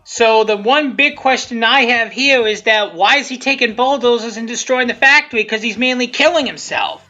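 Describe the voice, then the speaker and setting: Woman doing casual commentary, bright voice, keeps restarting sentences, music in the background. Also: a little high. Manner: rambling, halting, repeats and restarts sentences often. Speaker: creator of a fan abridged series giving commentary. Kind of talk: casual unscripted video commentary. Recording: dull lo-fi sound with music underneath. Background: music from the video being commented on.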